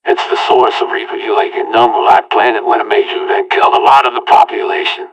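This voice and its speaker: A man's voice: fairly low pitch, fairly even intonation, fast, a bit bright, breathy edge, dull audio. A man telling a homemade audio-log story in the first person, with an earnest, rambling delivery. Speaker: narrator. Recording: voice far from the mic.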